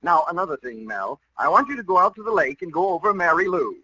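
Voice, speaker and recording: A man's voice: high voice, big pitch swings, fast, sharp tone, no room sound, heavily acted. An actor playing a blustery, jolly, pompous lodge leader and businessman in a 1940s radio comedy. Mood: jovial, secretive. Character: blustery, secretive male lodge leader and real-estate boss. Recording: hissy, muffled old radio broadcast.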